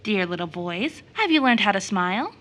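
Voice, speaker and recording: A woman storyteller voicing a kindly lady gatekeeper in a fairy tale, gentle and encouraging. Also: medium pitch, sing-song, moderate pace. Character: gentle, kindly lady guarding a magical gate.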